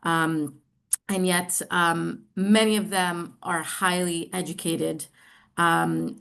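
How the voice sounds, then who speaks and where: Middle aged american lady doing an online presentation, kinda low voice, lots of pauses. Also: gravelly. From New York, United States. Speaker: social enterprise representative presenting.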